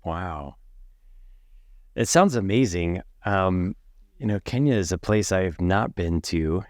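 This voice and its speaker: A man's voice: sits low, expressive, slow, darkish tone, no echo, clean studio sound. A man hosting a podcast, upbeat and affirming as he welcomes and questions his guest. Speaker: podcast host.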